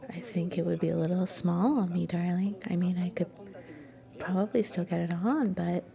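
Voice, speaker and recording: A woman playing a shy, sweet young student girlfriend in an ASMR roleplay, speaking softly and breathily with gentle hesitation, often slipping into a whisper. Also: on the low side, moderate pace, vocal fry. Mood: flustered, coy.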